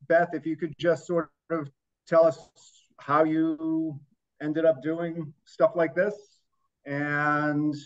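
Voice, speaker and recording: A middle-aged man hosting a book club over a video call, hesitant and often restarting his sentences. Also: a little high. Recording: dull lo-fi call audio.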